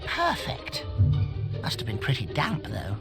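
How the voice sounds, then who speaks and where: An actor playing an excitable, flippant conspiracy-minded rumour-monger in a fantasy game, with animated delivery. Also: male voice, on the low side, measured pace, creaky, exaggerated voice. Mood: conspiratorial. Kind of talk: theatrical fantasy game acting. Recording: loud music behind the voice. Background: music.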